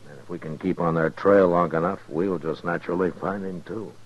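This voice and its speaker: A man playing a steady, self-assured frontier marshal, dry and matter-of-fact, in 1950s radio western style. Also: low-pitched, brisk. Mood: explaining, steady. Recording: muffled, noisy old radio recording.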